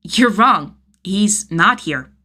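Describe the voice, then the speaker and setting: A woman's voice: mid-range voice, lively intonation, drawn-out words, bright, forceful tone, heavily acted. A woman voicing an earnest, feisty nine-year-old boy with a blunt, eager manner in a story reading. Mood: defiant.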